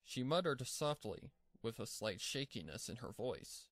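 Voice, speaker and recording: A man's voice: mid-range voice, big pitch swings, unhurried, a bit bright, dry recording. Man narrating a story, dry close sound. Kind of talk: scripted fan-fiction narration.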